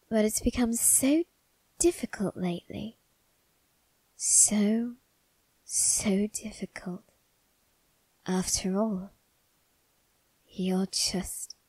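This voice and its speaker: Suave male vampire acted by a woman, ASMR roleplay, soft breathy half-whisper, close dry sound, coaxing, quietly menacing. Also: mid-range voice, dry recording, poor audio.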